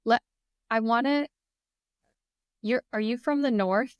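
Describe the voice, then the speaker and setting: Young female guest, Midwestern American accent, chatty and upbeat; smooth clear voice, dry close mic. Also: on the high side, slow, dry recording. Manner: enthusiastic, laughs often, chatty. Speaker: guest, English teacher. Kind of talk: casual conversation.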